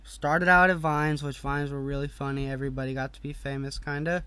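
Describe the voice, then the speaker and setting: A man's voice: medium pitch, flat delivery, a little fast, bright, forceful tone, clear, resonant tone, dry recording. A young man hosting his own podcast, ranting bluntly and heatedly, swearing freely and breaking off into casual asides. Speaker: podcast host.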